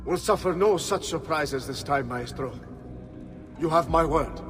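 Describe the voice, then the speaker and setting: A man's voice: on the high side, a little slow, over music, lightly acted. Scornful noble conspirator played by a man. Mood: confident, dismissive. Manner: contemptuous, confident. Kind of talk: dramatic video game cutscene acting.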